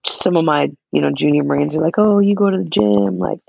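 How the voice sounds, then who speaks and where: A young man with a naturally raspy voice, chatting casually as a podcast co-host, laid-back and quick to laugh. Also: fairly high pitch, a little mellow, no echo, lo-fi sound. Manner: laid-back, laughs often, many fillers. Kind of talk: casual chat.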